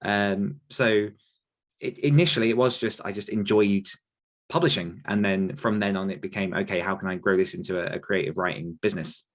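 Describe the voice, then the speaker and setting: A man's voice: sits low, brisk. A man in his thirties talking casually about his writing career, rambling a little with restarts and the odd laugh. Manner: rambling with frequent restarts and self-deprecating laughs. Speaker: podcast host and author answering listener questions. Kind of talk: casual conversational chat.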